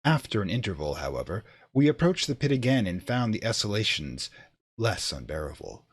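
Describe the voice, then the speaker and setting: A man narrating a horror radio play in a grave, theatrical, deliberate manner. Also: fairly low pitch, big pitch swings, husky, no echo. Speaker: narrator and performer.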